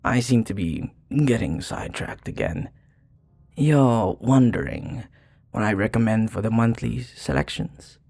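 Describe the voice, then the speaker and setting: A man playing a flirty, charming vampire bartender in an intimate ASMR roleplay, teasing softly and unhurriedly with breathy murmurs and hesitations. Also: animated, a little slow, exaggerated voice. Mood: smooth, inviting. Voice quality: low, husky, breathy.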